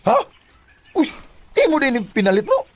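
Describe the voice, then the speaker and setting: An actor with a Cebuano Filipino accent playing a friendly, easygoing, teasing co-worker in a Bisaya radio drama. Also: male voice, very high-pitched, huge pitch swings, normal speed, creaky, soft background music, exaggerated voice. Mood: surprised.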